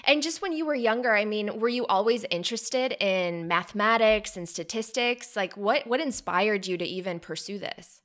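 A woman's voice: mid-range voice, expressive, moderate pace, few pauses, fairly bright tone. A woman born in Poland and raised in the United States, hosting a podcast and asking curious, conversational questions. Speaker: podcast host. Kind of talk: interview questions.

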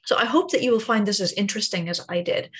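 A woman hosting a music podcast, reading a clear, articulate introduction and then interviewing her guest. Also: medium pitch, fast. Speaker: podcast host.

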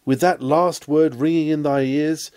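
A man's voice: on the high side, steady tone, measured pace, a little mellow, clear, resonant tone. A man reading a historic sermon aloud in a solemn, declamatory, exhorting manner with dramatic archaic oratory.

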